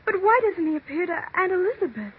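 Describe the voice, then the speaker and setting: A timid, frightened American daughter in a high female voice, hesitant and pleading. It is 1940s radio suspense, heard through a dull recording with some noise. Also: sing-song, quick pace. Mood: worried, questioning. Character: timid, frightened daughter cowed by her mother.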